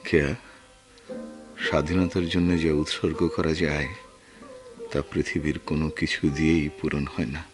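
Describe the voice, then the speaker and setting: Deep-voiced brooding war veteran. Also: male voice, fairly even intonation, over music. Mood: proud, resolute. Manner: earnest, halting, reflective. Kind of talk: Bengali radio drama acting.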